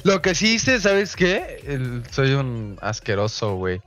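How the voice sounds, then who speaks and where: A man's voice: medium pitch, sing-song, slow pace, fairly bright tone, faint music behind. A Mexican man chatting casually during an online game, joking in loose slang. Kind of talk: casual voice-chat banter while gaming.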